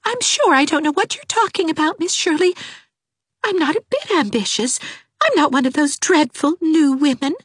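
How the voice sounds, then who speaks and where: A woman's voice: sits high, lively intonation, moderate pace, a bit bright, no room sound, studio quality, pitched above the performer's own voice. A woman voicing a melodramatic young woman who sobs and wallows in self-pity, her voice thick with tears. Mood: tearful, melodramatic. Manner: theatrical, sobbing, overwrought. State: crying.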